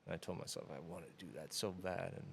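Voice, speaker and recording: A man in his thirties from the Florida Keys talks through an interview in a very low voice. His delivery is flat and level, rarely rising or falling. He rambles easily, leaning on plenty of fillers. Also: fast, vocal fry, a little hiss or hum. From Florida Keys, USA. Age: 30s. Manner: relaxed, rambling with many fillers. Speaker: interview guest. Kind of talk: casual conversation.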